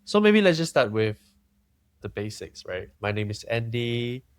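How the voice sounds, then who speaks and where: A young man from Singapore, talking candidly and thoughtfully as a podcast guest, often pausing and restarting. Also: medium pitch, big pitch swings, clean tone, no echo. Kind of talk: candid conversational interview.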